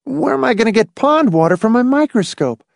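A man's voice: extremely high pitch, very animated, a little fast, vocal fry, no room sound, studio quality, pitched above the performer's own voice, exaggerated voice. A man voicing an eager, stubborn young boy in an audiobook, with a complaining, vigorous and belligerent manner. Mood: resentful complaint. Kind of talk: audiobook character voice.

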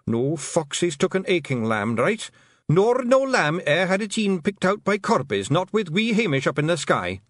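A man's voice: big pitch swings, normal speed, no room sound, studio quality. A man voicing a sly, frantic little male pixie chieftain who shrieks and gabbles in a Scottish accent. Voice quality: shrill, gabbling.

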